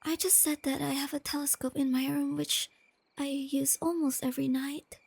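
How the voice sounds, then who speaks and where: A woman playing a shy, nerdy, tender young girlfriend in a soft-spoken ASMR roleplay, with a breathy near-whisper and hesitant, gently stammering delivery, crickets chirping behind her. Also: high-pitched, flat delivery, normal speed, no room sound. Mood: dreamy, calm. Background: crickets and night insects.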